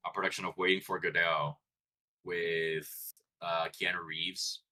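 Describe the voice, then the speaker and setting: A man's voice: sits low, unhurried. A man from Colombia with a light Colombian accent, chatting casually and rambling on a late-night stream while tired and chewing gum. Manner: relaxed, rambling, self-interrupting. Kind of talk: casual chat.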